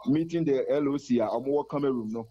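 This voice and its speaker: A man's voice: medium pitch, quick pace. A man giving a live sports news report over the phone, fluently mixing Twi and English. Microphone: phone line. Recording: muffled phone line.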